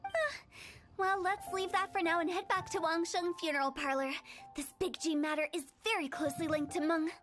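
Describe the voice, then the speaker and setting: A woman's voice: very high-pitched, fairly even intonation, brisk. A woman voicing a playful, teasing young woman who directs a funeral parlor, with a giggly, breezy manner, in game audio. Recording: game audio with background music.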